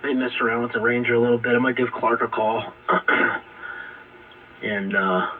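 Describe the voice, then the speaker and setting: A man's voice: mid-range voice, fairly even intonation, moderate pace. Distant, phone-like man on ham radio. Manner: informal, slangy, chuckling. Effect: AM shortwave radio transmission. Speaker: amateur radio operator. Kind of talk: casual chat over ham radio.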